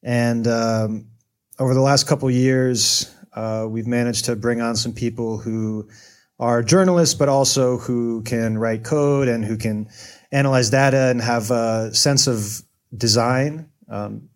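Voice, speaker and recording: A man's voice: medium pitch, fairly even intonation, unhurried. An American man speaking as an interview guest, thinking aloud with lots of fillers and hedges. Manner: thoughtful, hedging with many fillers. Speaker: guest, data journalist. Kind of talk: casual interview answers.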